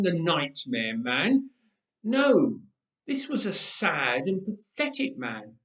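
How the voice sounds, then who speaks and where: A man reading a story aloud in a dramatic, expressive, measured way with deliberate pauses. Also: on the high side, far from the mic. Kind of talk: dramatic scripted story narration. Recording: roomy, echoing indoor sound.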